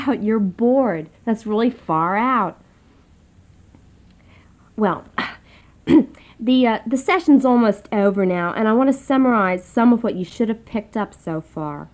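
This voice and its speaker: A woman's voice: mid-range voice, a little mellow, lightly acted. Woman acting a glib self-help seminar leader. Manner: glib, slangy, instructing. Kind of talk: satirical radio comedy acting.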